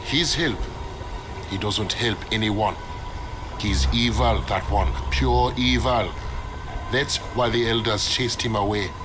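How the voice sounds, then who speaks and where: A man playing a stern, suspicious village father in a video game, gruff and accusing. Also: lowish voice, takes it slowly, background noise. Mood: grave, warning. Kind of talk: game cutscene acting.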